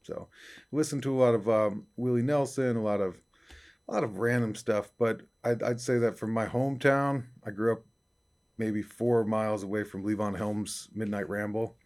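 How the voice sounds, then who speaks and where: A young man from upstate New York, a singer-songwriter being interviewed, talking casually in a raspy baritone with easygoing fillers. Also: fairly even intonation, normal speed, a little mellow. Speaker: country singer-songwriter guest.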